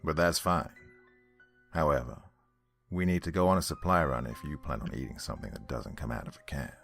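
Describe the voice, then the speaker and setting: An authoritative, practical survivor in an audio horror story, played by a man. His voice is exceptionally deep, with soft music underneath. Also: fairly quick. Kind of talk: audio drama dialogue.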